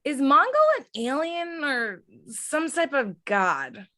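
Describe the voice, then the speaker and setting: A woman's voice: high voice, sing-song, takes it slowly, bright, forceful tone, full character voice. A woman reading casual character dialogue as a cast member in an amateur table read. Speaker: cast reader.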